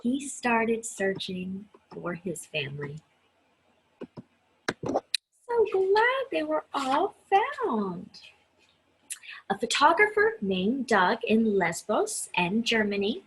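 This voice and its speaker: A woman reading a children's story aloud in an expressive, chatty style, stressing key words with dramatic emphasis. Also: medium pitch, slight background noise, low-quality recording. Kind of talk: expressive read-aloud with chatty asides to listeners.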